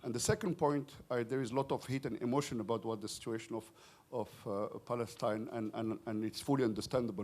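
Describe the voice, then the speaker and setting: A man from the audience at a conference, asking the panel a long question with many pauses and restarts. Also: mid-range voice, a little mellow. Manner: halting, many fillers and restarts. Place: conference hall.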